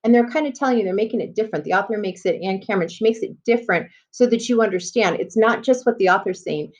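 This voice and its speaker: A woman's voice: medium pitch, a little mellow. Woman teacher in dull lo-fi audio. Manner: explanatory, pauses to question and address listeners. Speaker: elementary school teacher. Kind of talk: read-aloud reading lesson for children.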